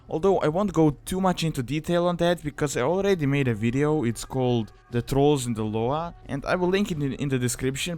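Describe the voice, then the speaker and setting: Clear-voiced man narrating a casual guide. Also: medium pitch. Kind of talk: casual explanatory voice-over.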